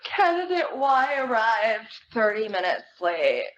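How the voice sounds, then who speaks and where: A woman's voice: fairly high pitch, very animated, slow, fairly bright tone. A woman from a theater troupe giving a live dramatic narration. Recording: dull live recording, faint room noise.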